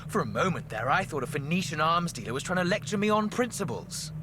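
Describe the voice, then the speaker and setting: An actor playing an aristocratic ancient mummy in a video game, arch, witty and disdainful. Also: male voice, fairly high pitch, sing-song, fast talker, background noise. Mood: disdainful, teasing. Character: aristocratic, sardonic, haughty ancient mummy dealmaker. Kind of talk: theatrical video game dialogue.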